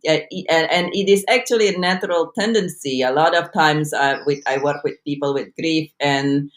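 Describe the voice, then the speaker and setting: A woman's voice: deep voice, normal speed. A woman from Indonesia with an Indonesian accent, giving earnest advice on a talk show with frequent restarts and light laughs. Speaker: co-host. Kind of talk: conversational talk show discussion.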